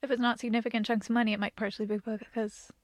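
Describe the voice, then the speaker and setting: A soft-spoken woman with a somewhat high voice chats casually as a podcast host, talking fast and laughing easily. The sound is close and dry, with no room around it. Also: little pitch movement, no echo. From United States. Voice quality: gentle soft voice. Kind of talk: casual conversational chat.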